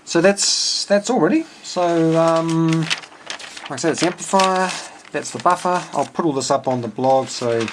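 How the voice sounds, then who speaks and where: A man's voice: on the high side, unhurried, background noise. A man giving an informal technical walkthrough as a hobbyist builder, methodical and matter-of-fact, thinking aloud as he goes. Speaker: amateur radio builder explaining his circuit.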